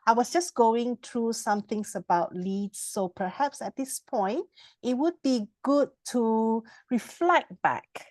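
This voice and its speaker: A woman from the university interviewing a student, asking measured questions with brief hesitations. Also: on the high side, animated, a little mellow, dry recording. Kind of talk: hosted interview.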